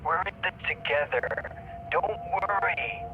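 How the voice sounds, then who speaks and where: An actor playing a mysterious, urgent ally on a phone call in a game drama, giving conspiratorial, cryptic instructions. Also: male voice, sits high, slow. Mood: urgent, reassuring. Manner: forceful, conspiratorial. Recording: phone call with faint noise.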